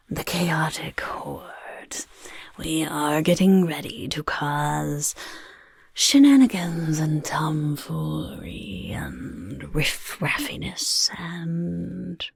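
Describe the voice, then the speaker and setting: A woman voicing a chaotic, eager little goblin overlord in a soft-spoken ASMR roleplay, with a creaky, raspy whisper and a fussy, repetitive manner. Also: sits low, expressive, very slow, no room sound, clean studio sound, exaggerated voice. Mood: gleeful welcoming. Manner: speaks of self in third person, fussy, repeats words.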